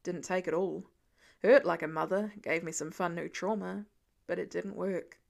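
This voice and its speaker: Lady doing a tired gentle middle-aged hero guy, voice dropped low, earnest and reassuring, audiobook style. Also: fairly even intonation, moderate pace, gravelly. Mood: wry. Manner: earnest, reassuring, plain-spoken. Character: weary, gentle middle-aged male archer, protective father figure.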